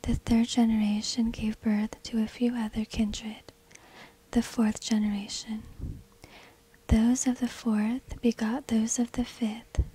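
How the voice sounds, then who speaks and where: A woman whispers a scripted story for ASMR, extremely breathy and without voicing. Her delivery is slow and deliberate, with very little melodic movement, as she narrates. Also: on the high side, warm tone, poor audio. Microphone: close binaural microphone.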